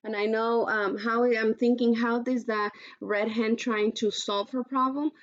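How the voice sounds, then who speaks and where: A woman teacher reading aloud to children in an instructive way, pausing to pose questions, on a dull recording. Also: on the high side, fairly even intonation. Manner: instructive, poses questions to listeners.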